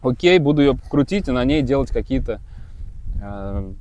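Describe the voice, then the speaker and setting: A man's voice: medium pitch, lively intonation, moderate pace, darkish tone. A man who runs a kite school, giving a casual, rambling gear review with many hesitations and restarts.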